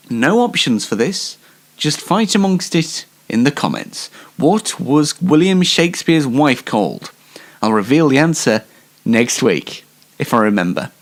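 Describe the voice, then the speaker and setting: A man's voice: mid-range voice, very animated, a little slow. A man hosting an amateur radio show in a casual, rambling way, hesitant and wryly self-deprecating.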